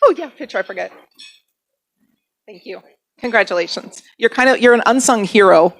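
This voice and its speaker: A woman's voice: a little high, lively intonation, a bit bright. Chatty woman emceeing a club lunch. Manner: chatty, self-deprecating, quick to laugh. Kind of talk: casual meeting announcements. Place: banquet room at a lunch meeting.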